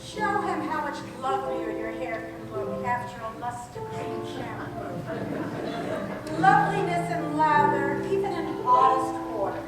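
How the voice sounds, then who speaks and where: A woman playing a dreamy pitchwoman in an old-time radio commercial, singing and speaking the jingle. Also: lively intonation, unhurried. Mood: dreamy, sweet. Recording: roomy distant stage recording with music.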